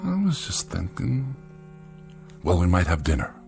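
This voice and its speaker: An actor playing a pompous, irritable, condescending writer with precise, theatrical diction in a radio drama. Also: male voice, sits low, huge pitch swings, unhurried, vocal fry, a little mellow, faint music behind, heavily acted. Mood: awkward, pleading. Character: pompous, scornful, irritable male writer who hates machines. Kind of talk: dramatic radio-play acting.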